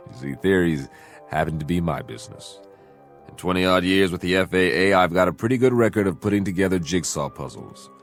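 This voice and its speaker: A middle-aged man playing a methodical, confident aviation investigator in a television drama, with a measured official manner. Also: low voice, soft background music. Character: self-assured, methodical male federal aviation investigator.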